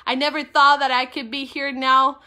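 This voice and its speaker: Bright, high-pitched Peruvian-accented woman. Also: flat delivery, moderate pace. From Peru. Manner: upbeat, emphatic, repeats key phrases. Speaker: network marketing coach and livestream host. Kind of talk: informal livestream talk to viewers.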